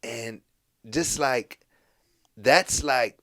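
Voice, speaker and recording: A man's voice: mid-range voice, extremely slow. A young man raised in the United States, a podcast guest telling rambling personal stories full of fillers. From Morocco, raised in the United States. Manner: rambling storytelling, heavy fillers, lively voices for quoted people. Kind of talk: casual podcast conversation.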